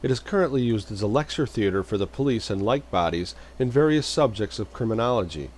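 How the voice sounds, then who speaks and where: A man's voice: on the low side, animated, fairly quick, darkish tone, slight background noise. A man reading a scripted, informational narration.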